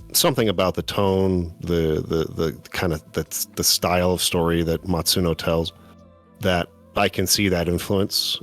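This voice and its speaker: Deep-voiced thoughtful man chatting. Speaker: podcast guest. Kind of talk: casual live-stream chat.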